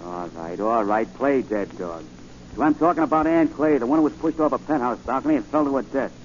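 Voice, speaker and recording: Man playing a gruff streetwise drifter, muffled old radio. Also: mid-range voice, lively intonation, a little fast, lightly acted. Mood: insistent, irritated. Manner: slangy, gruff, argumentative tough-guy talk. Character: brash streetwise male drifter with uncanny foresight. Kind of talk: 1940s radio acting. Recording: muffled, hissy 1940 radio recording.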